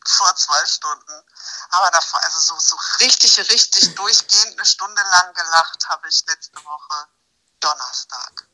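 A woman's voice: on the low side, unhurried, poor audio. A woman in her thirties chatting as a podcast guest, telling stories with plenty of laughter. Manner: laughing, lively storyteller. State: busy mother with young children. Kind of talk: casual chat.

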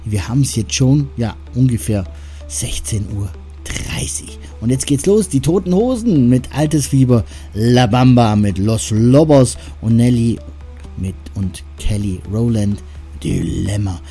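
Chatty male radio host, dark voice. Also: mid-range voice, lively intonation, measured pace, faint music behind. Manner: chatty, self-deprecating, rambling asides. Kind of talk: casual radio moderation.

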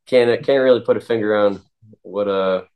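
A man of twenty, a singer-songwriter, answering interview questions in a halting way with many pauses and restarts. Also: fairly low pitch, darkish tone, slight background noise. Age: 20s.

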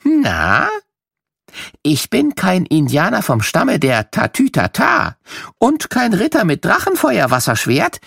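A man narrating a scripted children's story in a playful, animated way. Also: sits high, slow pace, gravelly, breathy edge, dry recording, clean studio sound.

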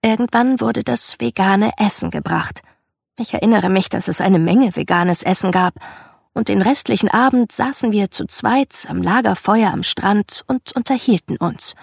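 A woman narrating a first-person memoir as a scripted audiobook, in a measured, reflective storytelling manner. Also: mid-range voice, vocal fry, breathy edge, no echo, clean studio sound.

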